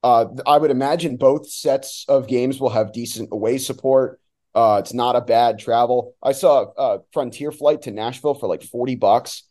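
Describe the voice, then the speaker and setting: A man who works as a sports announcer, giving casual, somewhat halting analysis as a guest on a talk show. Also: medium pitch, steady tone, darkish tone.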